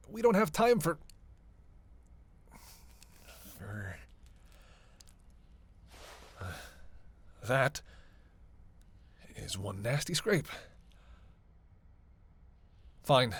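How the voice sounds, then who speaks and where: An actor playing a reluctant, sardonic vampire in an audio roleplay, sarcastic and grumbling, swearing freely. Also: male voice, mid-range voice, fairly bright tone, slightly rough, dry recording. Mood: frustrated, urgent. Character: sardonic, reluctant male vampire, hungry, cursing, teasing. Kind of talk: solo audio roleplay addressed to the listener.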